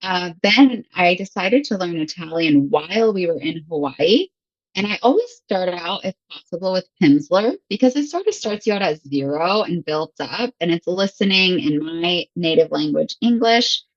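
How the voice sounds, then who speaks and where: A woman's voice: sits low, vocal fry, dry recording, lo-fi sound. An American woman from Michigan in her thirties, chatting casually and laughing often as an interview guest. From Michigan, USA. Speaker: guest, English teacher. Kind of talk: casual conversation.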